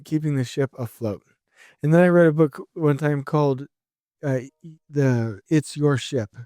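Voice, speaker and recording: A man's voice: mid-range voice, clean tone, dry recording, studio quality. Upbeat male podcaster, slightly scratchy throat. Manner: energetic, rambling, many restarts. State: sore throat, a bit scratchy. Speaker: podcast host. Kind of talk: solo podcast monologue.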